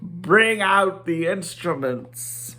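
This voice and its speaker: A man voicing an important, bossy dwarf leader with a commanding, deliberate delivery in a storybook reading. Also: high voice, lively intonation, bright, forceful tone, full character voice.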